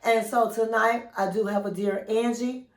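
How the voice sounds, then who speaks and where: A woman's voice: a little high, fairly even intonation, moderate pace, roomy sound. A woman with a cold and a nasal voice, hosting her own relationship advice channel and chatting casually with viewers, calling them queens and honey. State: has a cold, sounds nasal. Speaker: relationship coach and channel host. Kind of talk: casual advice vlog chat.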